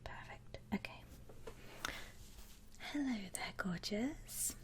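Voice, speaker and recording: A woman playing a friendly, attentive saleswoman in a whispered ASMR roleplay, speaking softly in soothing customer-service patter with endearments. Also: a little high, expressive, takes it slowly, pitched above the performer's own voice. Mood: polite, attentive. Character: friendly attentive saleswoman at a vampire coffin shop. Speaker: ASMR performer.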